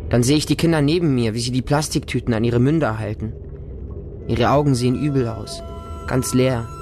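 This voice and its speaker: A man's voice: medium pitch, a little fast, music in the background, lightly acted. A man playing a lonely, naive small homeless boy who narrates his life in a radio drama in short, plain sentences. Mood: subdued, wary.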